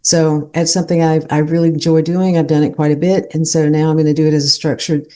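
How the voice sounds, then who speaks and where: A low, mellow-voiced woman with a light North Carolina accent talks as an interview guest. She speaks in an unhurried, easygoing way, pausing on fillers as she gathers her thoughts. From North Carolina, USA. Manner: unhurried, relaxed, hesitant fillers. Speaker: agency founder, guest. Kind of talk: conversational interview.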